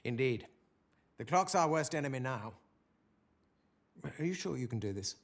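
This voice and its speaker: An actor playing a commanding, urgent Allied officer in a military video game, speaking in clipped, formal phrases. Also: male voice, medium pitch, expressive, moderate pace, no room sound. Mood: grave, urgent. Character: urgent, commanding male Allied army officer briefing a general.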